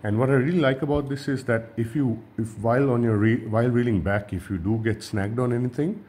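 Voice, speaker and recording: A man from Sri Lanka, a fishing channel host, giving a relaxed hands-on tutorial at a table and often repeating and restarting his words. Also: mid-range voice, a little fast, a little hiss or hum.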